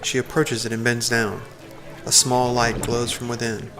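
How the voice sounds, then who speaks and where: A man's voice: medium pitch, little pitch movement, moderate pace. A man reading scripted, descriptive narration for an audio drama. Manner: descriptive, present-tense storytelling. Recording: music behind narration.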